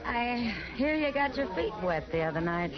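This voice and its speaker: A woman's voice: on the high side, lively intonation, moderate pace, natural voice. An actress playing a friendly, wry, easygoing saloon woman in a radio western. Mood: teasing, relaxed. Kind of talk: 1950s radio western acting. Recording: muffled radio recording, saloon crowd.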